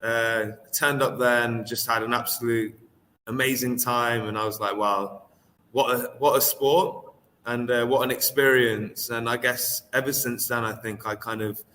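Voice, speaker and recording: A young man, a triathlete talking casually as an interview guest, relaxed and hesitant with many fillers. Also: mid-range voice, unhurried, big-room sound, a little hiss or hum. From England.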